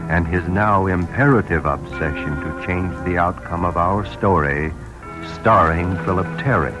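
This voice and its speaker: An actor playing an ominous vintage radio drama narrator, speaking with grave, portentous phrasing and theatrical pauses. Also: male voice, low voice, low-quality recording. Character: solemn, ominous male radio mystery narrator. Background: music.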